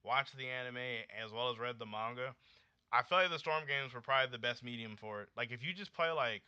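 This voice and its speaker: A young American man in his late twenties talks casually as a livestream host. His voice is very bright and punchy, with a slangy, animated delivery. The audio is slightly dull and lo-fi. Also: medium pitch, brisk, clear, resonant tone, dry recording. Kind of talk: casual livestream chat with viewers.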